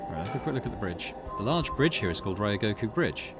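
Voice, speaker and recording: A man hosting a let's play, reading a visual novel aloud and thinking out loud in between. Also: on the low side, animated, fast. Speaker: gaming channel host. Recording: music under the voice. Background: game music.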